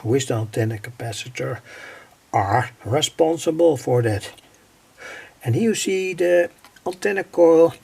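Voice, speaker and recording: A man giving an informal technical explanation in a halting way, often dropping in small filler phrases as he presents. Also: mid-range voice, vocal fry, a little mellow, husky. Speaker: presenter of electronics project videos. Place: at home, indoors.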